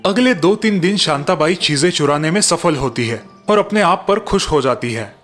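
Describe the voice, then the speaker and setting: Higher-voiced man narrating a cartoon story. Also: expressive, moderate pace, fairly bright tone, faint music behind.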